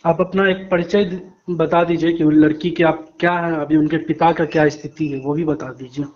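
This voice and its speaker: Bihari male interviewer, slightly high voice. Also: steady tone, moderate pace. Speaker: news interviewer. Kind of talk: phone news interview. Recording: dull lo-fi call recording.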